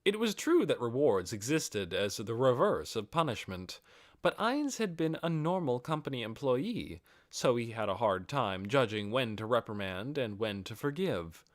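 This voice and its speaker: A man's voice: mid-range voice, very animated, normal speed. A man reading scripted fantasy narration as an audiobook narrator.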